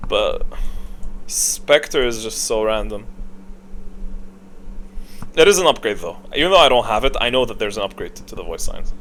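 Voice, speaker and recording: A man's voice: on the low side, sing-song, normal speed, pauses often, fairly bright tone. A man giving casual, off-the-cuff gaming commentary as a video creator, blunt and opinionated in slangy wording. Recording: noticeable background noise.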